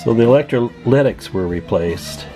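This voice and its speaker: A man's voice: medium pitch, lively intonation, moderate pace, over music. A man giving a casual, hands-on walkthrough as a hobbyist, thinking aloud in a folksy, musing way. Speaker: hobbyist presenter.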